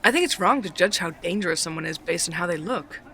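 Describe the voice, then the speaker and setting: Woman playing a small feisty fighter, bragging and punchy, sharp bright voice, audio drama. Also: lowish voice, lively intonation, quick pace. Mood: cocky. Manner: boastful, punchy. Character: tiny, cocky, feisty self-declared killing machine.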